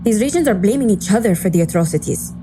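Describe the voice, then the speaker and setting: A woman's voice: mid-range voice, lively intonation, fast talker, lightly acted. Woman acting a blunt briefing officer over noise. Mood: grave, firm. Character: serious, blunt covert agency officer briefing a team. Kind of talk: audio drama acting. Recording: clear background noise and ambience.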